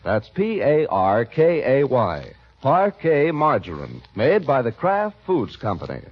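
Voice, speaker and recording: A man working as a radio announcer, giving a scripted sponsor spiel and show narration with smooth, polished salesman delivery. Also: medium pitch, huge pitch swings, a little slow, over music. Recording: dull 1940s radio broadcast.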